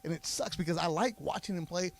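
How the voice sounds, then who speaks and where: A man's voice: sits high, fast talker. A man co-hosting a sports talk radio show, explaining at length with a confident, conversational delivery. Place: radio studio. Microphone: studio mic.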